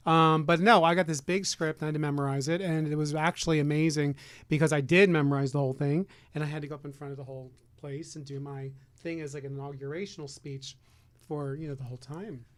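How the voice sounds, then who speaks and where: A man's voice: fairly high pitch, steady tone, fast, dry recording. A man chatting as a guest, enthusiastic and gushing, repeating words for emphasis. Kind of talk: casual chat.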